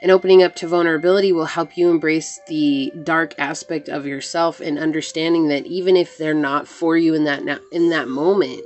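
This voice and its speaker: A woman's voice: deep voice, fairly even intonation, brisk, hardly any pauses, darkish tone. A woman giving an earnest, reassuring collective tarot reading to camera, talking straight to her viewers. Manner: earnest, reassuring, addresses viewers as "you guys". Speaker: tarot reader.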